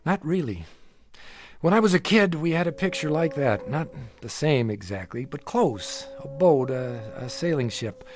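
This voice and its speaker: An actor in a radio drama playing a haunted, sleepless patient who talks in a halting, edgy, confiding way, afraid of his own imagination. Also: fairly high pitch, lively intonation, music in the background. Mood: uneasy, intent. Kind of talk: audio drama acting.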